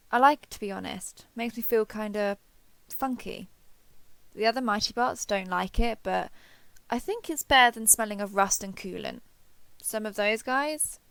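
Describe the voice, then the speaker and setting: A woman's voice: medium pitch, gravelly, a bit bright, no echo. A woman playing a friendly, chatty giant transforming robot soldier in an audio roleplay, joking and reassuring as she talks to the listener. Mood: playful.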